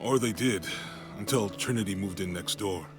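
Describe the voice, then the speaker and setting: A man's voice: lowish voice, normal speed, close to an everyday voice. A man playing a casual, wry companion to a heroine in a video game cutscene, briefing her plainly. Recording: music behind game dialogue.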